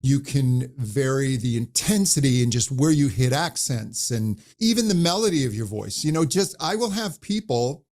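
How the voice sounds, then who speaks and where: A man who coaches voices, talking animatedly in an interview and demonstrating high and low pitch as he explains. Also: animated, normal speed, hardly any pauses, a little mellow. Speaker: voice coach guest. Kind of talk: conversational interview.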